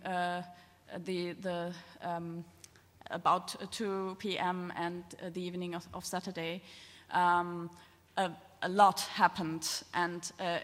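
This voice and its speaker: A woman speaking unscripted as a panelist in a public discussion, halting and often searching for words. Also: lowish voice, a bit bright. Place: large reverberant hall. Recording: echoey hall with long reverb.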